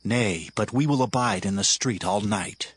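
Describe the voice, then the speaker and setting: A man's voice: on the low side, normal speed, a bit bright. A man voicing an angel who gives urgent commands, in a dramatized Bible reading. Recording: voice over clear music.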